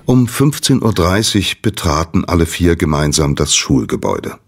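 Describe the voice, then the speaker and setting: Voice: low voice, very animated, normal speed, vocal fry, a little hiss or hum, heavily acted. A narrator reading scripted story narration for a children's audio drama.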